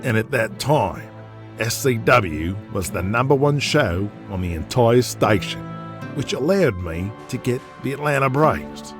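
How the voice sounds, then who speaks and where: Give this audio drama , acted out by a male narrator, a domineering Australian tycoon and big-game hunter. He is boastful, foul-mouthed and intimidating, pitched above the narrator's usual talk. Music plays clearly behind him. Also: animated, unhurried. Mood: commanding, scornful.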